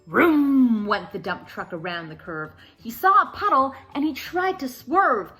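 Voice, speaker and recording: A woman hosting a children's story time, reading a picture book aloud in an expressive, sing-song way. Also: on the high side, unhurried, faint music behind.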